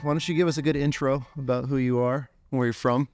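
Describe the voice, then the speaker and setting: A man's voice: medium pitch, fairly even intonation, quick pace, over loud music. An American man hosting a podcast, asking questions eagerly in loose, restarting sentences. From United States. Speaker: podcast host, hunting guide. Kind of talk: casual chat.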